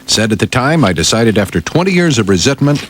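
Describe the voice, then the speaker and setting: Male morning-show news anchor, medium pitch, lively swings in intonation, emphatic broadcast delivery. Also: a little fast, slight background noise. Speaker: radio newsreader and on-air sidekick. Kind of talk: broadcast news reading with banter.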